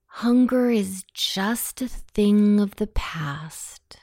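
A woman guiding a hypnosis meditation in a soothing, measured way, with long pauses between phrases. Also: medium pitch, animated, dark tone, no room sound, clean studio sound. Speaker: hypnosis session guide. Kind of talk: guided meditation, self-hypnosis narration.

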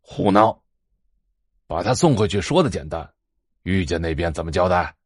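Domineering middle-aged tycoon father, very low voice, curt and pompous, close dry audio-drama sound. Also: lively intonation, normal speed, breathy edge, dry recording. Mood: exasperated. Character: stern, domineering middle-aged wealthy patriarch. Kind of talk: Chinese audio drama acting.

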